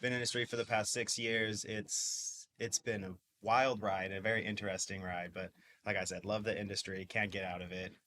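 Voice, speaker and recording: A man's voice: lowish voice, level tone, brisk, bright, forceful tone. A man in his twenties, a podcast guest, talking in an upbeat, rambling way with lots of fillers. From Mississippi. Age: 20s. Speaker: guest, dispensary owner. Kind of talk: casual interview talk.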